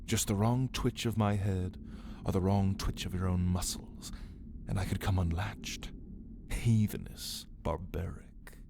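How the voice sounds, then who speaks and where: A man playing a caged ancient vampire in an ASMR roleplay, refined and hungry, speaking in theatrical, menacing, archaic phrases with a breathy voice that often drops into a whisper. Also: low voice, fairly even intonation, measured pace, noisy background. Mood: predatory, savoring. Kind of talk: ASMR roleplay monologue spoken to the listener.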